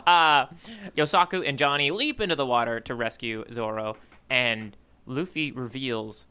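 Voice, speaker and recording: A man co-hosting a podcast, casually recapping a story in a halting way with frequent "you know" asides. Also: mid-range voice, bright, forceful tone, clear, resonant tone. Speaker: podcast co-host recapping a manga chapter.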